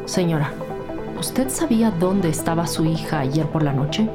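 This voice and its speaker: A woman's voice: low voice, lively intonation, measured pace, loud music behind the voice. A woman playing a composed police detective in an audio drama, polite and businesslike in manner. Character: composed female police detective leading a murder investigation.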